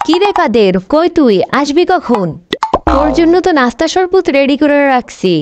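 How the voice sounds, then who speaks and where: A woman's voice: high voice, animated, moderate pace, a little mellow, soft background music. A woman voicing a bossy, melodramatic elderly grandmother in a comic cartoon, doting on her brother and nagging her husband. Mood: doting, sorrowful. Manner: scolding, melodramatic, gushing over her brother. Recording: noisy amateur cartoon dub with clicks.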